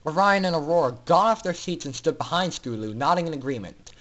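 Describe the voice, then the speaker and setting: Expressive, higher-voiced man narrating dramatically. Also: quick pace, dull audio. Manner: theatrical. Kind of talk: dramatic scripted fan fiction narration.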